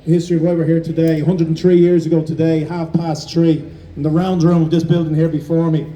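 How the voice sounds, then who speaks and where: A man's voice: fairly high pitch, level tone, fairly quick, warm tone, far from the mic, slight background noise, rough recording. An Irish-accented man speaking as master of ceremonies, giving a declamatory public address with some hesitations. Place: outdoors in front of a building.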